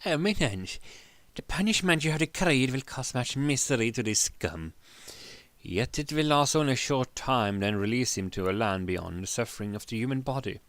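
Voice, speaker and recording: A man's voice: mid-range voice, expressive, moderate pace, fairly bright tone, dry recording, natural voice. A man voicing a scheming, coldly cruel royal advisor who speaks deferentially in a fantasy story reading.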